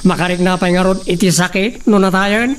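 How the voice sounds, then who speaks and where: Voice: medium pitch, steady tone, fairly quick, natural voice. A performer with an Ilocano accent playing a grumbling, blunt, callous male farm henchman in an audio drama. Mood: callous, arguing. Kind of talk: radio-style Ilocano drama acting. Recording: distant mic, faint noise, crickets and animals.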